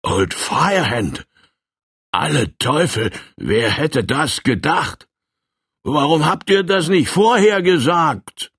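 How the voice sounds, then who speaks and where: A loud, swaggering colonel bully pitched well above a normal speaking voice, as a male narrator puts him on, blustering and boastful. He swings his pitch wildly and barks his lines with a slightly husky edge, like a hot-headed villain in a spoken storybook. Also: animated, slow, no room sound, clean studio sound, exaggerated voice. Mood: startled. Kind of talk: audiobook character voice.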